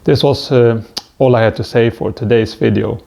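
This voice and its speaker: Swedish-accented man, gravelly and mellow. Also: medium pitch, steady tone, normal speed. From Sweden. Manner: hesitant, stumbling with frequent "uh" fillers. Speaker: vlogger. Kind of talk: self-help advice monologue.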